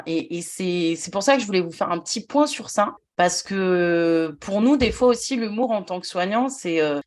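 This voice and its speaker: A woman who was once a nurse, hosting a podcast conversation and talking things through in a reflective way with frequent restarts. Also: fairly low pitch. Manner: reflective, thinks aloud with many restarts. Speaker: podcast host, former nurse.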